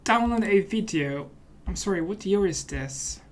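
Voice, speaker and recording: A man's voice: high voice, animated, a little slow. A man hosting a let's play, giving casual commentary in a playful, mock-serious manner.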